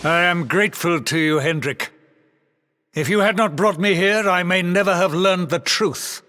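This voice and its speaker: A man's voice: high-pitched, moderate pace, no echo. An actor playing a grandiose middle-aged king in a game cutscene, speaking in a formal, theatrical and courtly way. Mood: grateful, solemn. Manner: formal, stately, theatrical delivery. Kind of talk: scripted game cutscene acting. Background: loud music.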